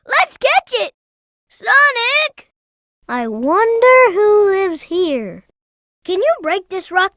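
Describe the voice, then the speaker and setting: An actor voicing a plucky young cartoon fox boy sidekick in a video game, childlike and earnest, giving clearly spoken hints and warnings. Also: extremely high pitch, pushed and bright, no echo, studio quality, full character voice. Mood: excited, alarmed, then curious, earnest.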